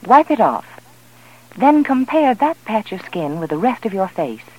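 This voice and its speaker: A woman's voice: medium pitch, big pitch swings, unhurried, breathy edge, lo-fi sound. A woman delivering a 1940s radio commercial with emphatic, persuasive, carefully articulated speech. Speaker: advertising spokeswoman.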